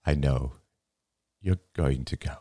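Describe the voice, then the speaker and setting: A man voicing a grieving elderly father in an audiobook, gentle and halting, close to tears. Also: lowish voice, sing-song, vocal fry, slightly rough, no room sound, voice pushed up, heavily acted. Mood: tearful, pleading. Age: older. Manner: halting, sighing, breaking into sad laughter. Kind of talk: dramatic audiobook dialogue.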